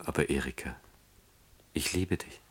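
A man's voice: moderate pace, a little hiss or hum. An actor playing a guarded, torn but soothing male lover in a radio play, speaking tersely and reassuringly. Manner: terse, low-key, reassuring. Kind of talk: German radio play acting.

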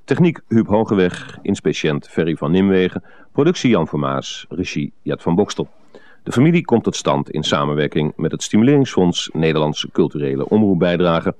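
Low-voiced male radio announcer. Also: lively intonation, normal speed, darkish tone, no room sound. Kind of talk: scripted credits announcement.

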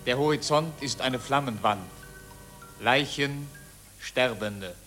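A man's voice: mid-range voice, takes it slowly, bright, forceful tone. A man acting as the grave narrator of a radio drama, reading scripted scene directions. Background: music.

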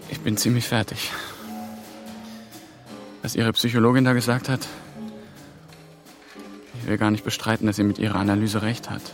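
A man's voice: on the low side, flat delivery, normal speed, pauses often, faint music behind, lightly acted. Actor playing a weary, irritated man. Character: weary, irritated male seminar participant. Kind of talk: naturalistic radio drama acting.

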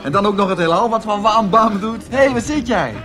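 A man playing a crude, excitable loudmouth with coarse slangy chatter in a comic science-fiction radio drama. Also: sits high, fairly quick. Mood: brash, excitable.

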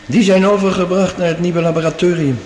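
A man's voice: high-pitched, steady tone, brisk, darkish tone, lightly acted. A male actor in a radio play as an affable curator on a Mars base, talking casually with a gossipy, hesitant manner. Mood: gossipy, puzzled. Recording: very echoey cave-like hall, faint noise.

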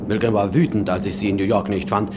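A male actor's portrayal of an anxious young colleague in a radio drama. He sounds sober and concerned, admonishing in a fairly low voice, with distinct vehicle rumble behind him. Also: fairly even intonation, quick pace, close to an everyday voice. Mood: concerned, urging. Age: young adult. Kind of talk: German radio drama acting. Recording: noisy vintage radio recording, vehicle rumble.

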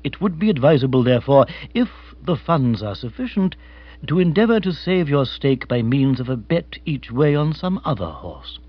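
A man's voice: medium pitch, very animated, normal speed, highs cut off. A man voicing an unflappable, formal English manservant in a storybook, very polite, precise and wordy. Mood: measured, deferential. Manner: stately, circumlocutory, very polite.

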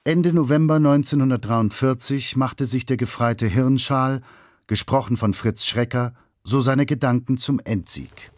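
A man's voice: medium pitch, unhurried, a little mellow, no room sound. A man reading scripted documentary narration as the narrator in a studio. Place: dry studio.

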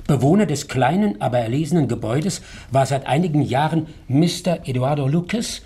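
Actor as measured, shrewd Victorian detective. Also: male voice, mid-range voice, warm tone, lightly acted. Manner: measured, formal, deliberate. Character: shrewd, composed male Victorian private detective. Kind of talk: German radio drama acting.

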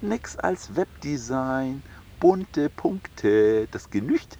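A man's voice: mid-range voice, big pitch swings, very slow, gravelly, mellow, full character voice. A man playing a breezy, boastful small-time online hustler in a radio drama, nonchalant and persuasive. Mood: cocky. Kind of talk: German radio drama dialogue.

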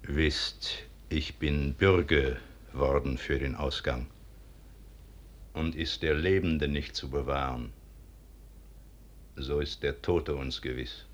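An actor playing a proud, ruthless low-born officer in a classical verse radio drama, speaking in an insistent, declamatory way. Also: male voice, steady tone, slow pace, pauses often. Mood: grim, secretive.

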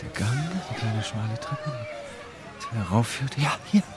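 A man's voice: mid-range voice, unhurried, music in the background, a crowd in the background, natural voice. An actor playing a weary, broken homeless man in a radio drama, intimate, tender and bitter. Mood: searching, agitated. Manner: hushed, intimate, world-weary.

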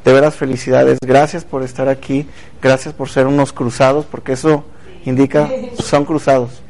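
A man's voice: mid-range voice, flat delivery, moderate pace, a little mellow, low-quality recording. A man hosting a casual talk show, chatty and speaking directly to viewers. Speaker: TV show host.

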